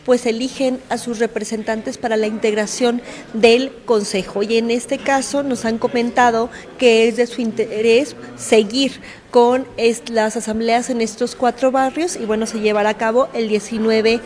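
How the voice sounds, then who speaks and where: A woman's voice: fairly high pitch, steady tone, normal speed, darkish tone. A woman with a Mexican accent explaining things as an official spokesperson in a press interview.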